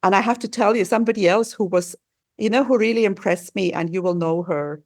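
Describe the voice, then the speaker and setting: A woman's voice: mid-range voice, brisk, darkish tone, dry recording. Effusive woman hosting a podcast, dry sound. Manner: enthusiastic, effusive questioning. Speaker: podcast host. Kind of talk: interview podcast.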